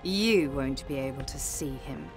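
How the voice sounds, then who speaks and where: An actress playing a bitter woman soldier turned enemy, slow and mocking. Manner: slow, mocking, swinging pitch. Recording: game cutscene with music.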